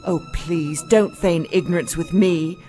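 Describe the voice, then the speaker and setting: A woman's voice: on the low side, unhurried, dark tone, faint music behind, natural voice. A woman playing a principled, no-nonsense doctor in an audio drama, blunt and sarcastic. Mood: defiant.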